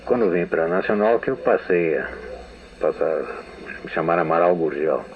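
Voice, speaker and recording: A man's voice: deep voice, expressive, normal speed. An older man from Brazil with a Brazilian Portuguese accent, telling memories in a meandering radio interview and often restarting sentences. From São Paulo state, Brazil. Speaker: interviewed writer. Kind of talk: archival radio testimony. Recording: narrow, phone-like old radio archive.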